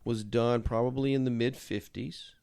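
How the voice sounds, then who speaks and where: A man's voice: mid-range voice, steady tone, moderate pace, creaky, darkish tone, smooth, no room sound, clean studio sound. A middle-aged or older man co-hosting a show, chatting casually and often searching for words. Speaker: show co-host. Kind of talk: casual chat.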